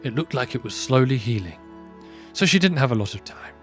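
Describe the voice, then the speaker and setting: A man's voice: medium pitch, lively intonation, brisk. A man giving scripted narration for a fantasy audio drama, in the style of a storybook. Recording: music bed under voice.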